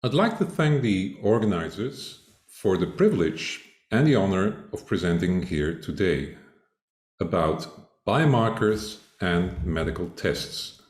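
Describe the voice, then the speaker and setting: An older man from Belgium presents at a conference in a measured, deliberate way. His voice sits low but moves a lot in pitch. The sound carries a roomy echo. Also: animated. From Belgium (Flanders). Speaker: conference speaker.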